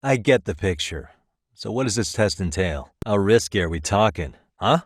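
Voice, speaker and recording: A man's voice: lowish voice, animated, moderate pace, slightly rough, no echo. A male actor with an American accent playing a wry, easygoing private detective in a video game, talking in a dry, casual, persuasive way. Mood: measured, questioning. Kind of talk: scripted game dialogue, conversational.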